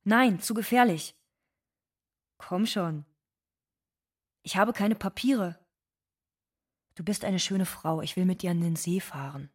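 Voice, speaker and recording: An actress playing an anxious, bitter undocumented migrant woman in a scripted dramatic monologue, with a husky voice. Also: lowish voice, slow pace, pauses often. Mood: anxious, pleading.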